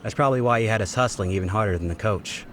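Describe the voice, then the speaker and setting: A man's voice: lowish voice, rapid-fire, fairly bright tone, slight background noise, close to an everyday voice. A man playing a wary young basketball club student in a video game, casual and noncommittal. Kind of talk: English game voice acting.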